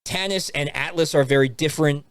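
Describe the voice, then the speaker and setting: A man hosting a podcast, chatting casually about films and games in an animated, jokey way. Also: on the high side, a little fast, clear, resonant tone, no echo. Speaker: podcast host.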